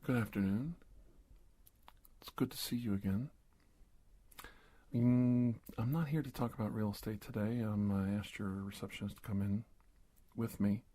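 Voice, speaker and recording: Guy playing careful polite gov agent doctor in asmr drama, deep dark voice, hesitant, slips into whispers. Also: steady tone, natural voice. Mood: calm, courteous. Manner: hesitant, halting, polite, often drops to a whisper. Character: cautious, polite government investigator doctor confronting a disguised creature. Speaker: ASMR performer. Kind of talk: soft-spoken ASMR roleplay.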